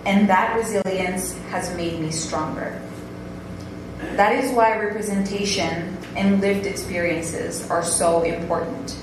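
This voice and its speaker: A woman's voice: medium pitch, steady tone, slow pace. Young woman in a very echoey room. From northern Tanzania. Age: young adult. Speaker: guest speaker, alumna and board member. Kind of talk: prepared fundraiser speech. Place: indoor event room at a podium. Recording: very echoey room, background noise.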